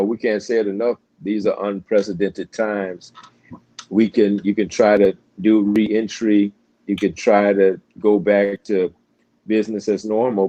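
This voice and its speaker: An American man, a pastor, talking in a relaxed interview with frequent fillers and restarts. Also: fairly low pitch, no echo, highs cut off. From United States. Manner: halting, many restarts and fillers. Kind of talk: casual interview conversation.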